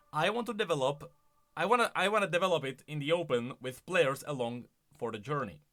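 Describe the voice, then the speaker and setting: Male gaming streamer, slightly high voice with lively swings, casual and conversational, music behind him. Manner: conversational, thinking aloud with repeated rhetorical questions and emphatic repeats. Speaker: streamer and host of a gaming news channel. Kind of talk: casual live-stream commentary on gaming news. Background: background music.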